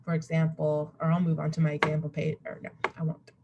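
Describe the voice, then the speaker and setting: A woman's voice: low voice, completely flat delivery, quick pace, dull audio. A young woman giving an informal slide presentation as a student, rambling and interrupting herself, recorded on a computer at home. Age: young adult. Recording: webcam recording with faint background noise.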